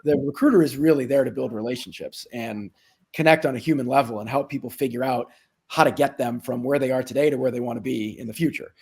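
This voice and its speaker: A man's voice: mid-range voice, fast, darkish tone, husky. A man speaking as an executive in a business interview, explaining things conversationally with frequent asides. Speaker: company executive being interviewed.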